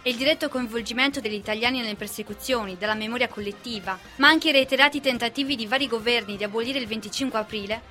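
A woman's voice: on the high side, pushed and bright. A young woman from Italy co-hosting a history radio segment and reading a scripted commentary. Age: young adult.

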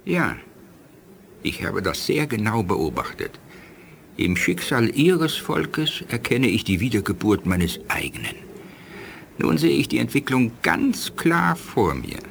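A man playing a grave, wise, ailing alien scientist in a 1980s German radio play, speaking in solemn, formal, measured phrases. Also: medium pitch, big pitch swings, creaky, a bit bright, a little hiss or hum, heavily acted. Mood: solemn, reflective.

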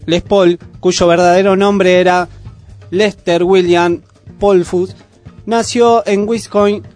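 A man's voice: sits high, a little mellow. A man with an Argentine Rioplatense accent reading scripted news as a radio presenter. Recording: music playing under the voice. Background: music bed.

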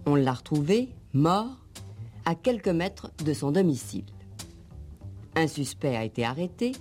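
A woman's voice: deep voice, expressive, measured pace, darkish tone. A woman narrating a radio drama from a script, in an old broadcast recording. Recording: 1960s radio recording over guitar music. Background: plucked guitar music.

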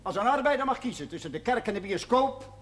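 An actor in a television period drama playing an earnest, persistent schoolmaster, formal and deliberate. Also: male voice, extremely high pitch, lively intonation. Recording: noisy old television soundtrack.

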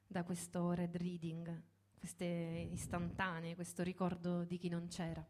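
A woman's voice: on the low side, monotone, a little slow, a little mellow. A woman delivering scripted literary narration for radio.

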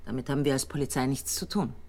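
An actress playing a calm, controlled intelligence colonel who questions a suspect in a radio drama. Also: female voice, extremely low pitch, fast. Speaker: intelligence officer.

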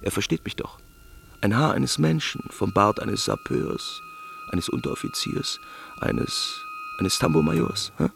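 An actor playing a pompous, anxious army captain in a German radio drama, rambling and repeating himself sentimentally. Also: male voice, on the low side, lively intonation, unhurried, gravelly, noisy background, exaggerated voice. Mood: mocking, needling.

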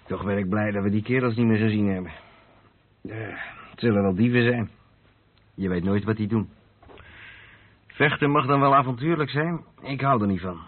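An actor playing a calm truck driver who explains things patiently to his mate in a radio drama. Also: lowish voice, moderate pace, pauses often, lightly acted. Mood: relieved. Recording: tinny narrow-band radio recording with vehicle noise.

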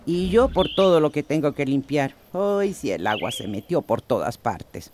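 An actress playing a cheeky, scolding older housekeeper with a Central American accent, in a radio soap opera. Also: female voice, low voice, lively intonation, moderate pace, noisy background. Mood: complaining. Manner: scolding, teasing. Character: sharp-tongued, cheeky older housekeeper of long service.

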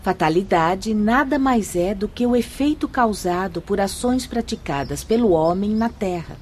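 A woman playing a serene, learned spirit guide in a radio drama, speaking in a formal, measured, instructive and firm manner. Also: mid-range voice, animated, darkish tone, a little hiss or hum, natural voice. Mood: patient, instructive. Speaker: actress in a radio play. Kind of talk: radio drama acting, solemn and didactic.

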